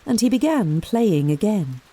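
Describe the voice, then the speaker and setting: A woman narrating a scripted folk tale in an expressive, measured storyteller's manner. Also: mid-range voice, noisy background.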